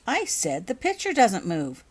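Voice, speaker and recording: A woman's voice: medium pitch, huge pitch swings, unhurried, voice pushed up. Eager, insistent schoolboy, read by a woman. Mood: puzzled. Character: eager, impatient schoolboy friend.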